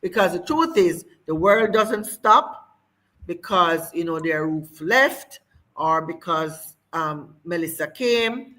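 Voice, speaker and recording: Woman host, crisp bright tone, hesitant interview questions. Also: fairly low pitch, expressive, slow pace. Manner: hesitant, circling phrasing with many fillers.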